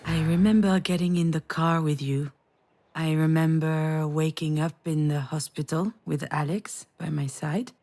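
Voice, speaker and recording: A low-voiced, troubled woman. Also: fairly even intonation, measured pace, mellow. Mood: reflective. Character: troubled woman haunted by crash, anxious, introspective. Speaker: game heroine and narrator. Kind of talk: acted visual novel dialogue and inner narration. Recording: music behind the voice.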